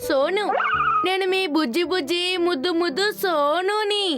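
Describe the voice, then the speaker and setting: A cheeky little boy with a very high, childlike voice. He teases in a sugary cartoon manner, with music playing underneath. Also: normal speed. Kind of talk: cartoon dub acting.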